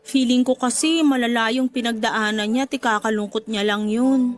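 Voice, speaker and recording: High-pitched young woman in radio drama, acted. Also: fairly even intonation, moderate pace, lightly acted. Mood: pleading, concerned. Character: remorseful young daughter, teasing with her suitor. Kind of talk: Tagalog radio drama acting. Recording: music behind the voice.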